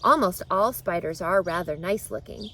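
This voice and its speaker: A woman's voice: on the low side, normal speed. A woman voicing a refined, kindly female spider from a children's storybook, composed, articulate and self-assured. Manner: composed, articulate, gently matter-of-fact. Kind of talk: children's storybook read-aloud.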